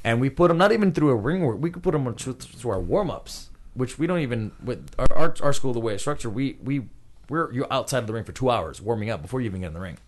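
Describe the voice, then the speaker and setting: A man's voice: mid-range voice, fast. A man in his thirties from Puerto Rico, a guest talking casually in an interview and laughing often. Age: 30s. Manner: relaxed, chatty, laughs often. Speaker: guest, pro wrestler and trainer.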